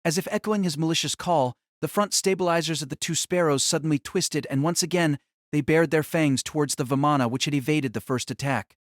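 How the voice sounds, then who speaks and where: A man's voice: a little high, dry recording, clean studio sound. A man giving solemn, theatrical scripted narration of a fantasy novel.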